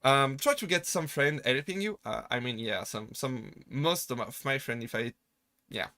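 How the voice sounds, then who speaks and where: A man with a French accent, chatting casually on a live stream and rambling through halting restarts and self-deprecating asides. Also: mid-range voice, animated, bright, forceful tone, no echo. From France. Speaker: VTuber streamer.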